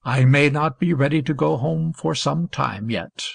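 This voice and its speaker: A woman voicing a gravelly old minister. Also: low-pitched, no room sound, pitched below the performer's own voice, heavily acted. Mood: startled, grave. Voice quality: gravelly, husky, slow solemn delivery. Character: elderly male minister, gentle, helpless grandfather.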